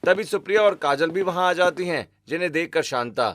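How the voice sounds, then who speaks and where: A man's voice: a little high, animated, fairly quick, a bit bright. A man narrating a scripted cartoon story as its storyteller. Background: background music.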